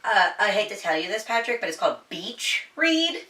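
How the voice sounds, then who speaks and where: A woman's voice: medium pitch, very animated, normal speed, bright, forceful tone, recorded from a distance. A woman hosting a casual, chatty book review, informal and giggly, with some swearing. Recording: roomy echo, slightly off-mic.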